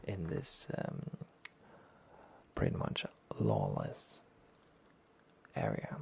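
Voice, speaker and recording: A soft-spoken man with a breathy, gravelly voice, giving a casual, low-key review as a rambling, hesitant talk show host.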